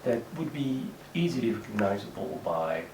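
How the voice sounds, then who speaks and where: A Moroccan man, a native speaker of Moroccan Arabic, talking in English with a Moroccan accent in a halting interview monologue, full of fillers and restarts. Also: lowish voice, big pitch swings. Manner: hesitant, many fillers and restarts. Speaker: writer. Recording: echoey room, mic at a distance, faint background noise.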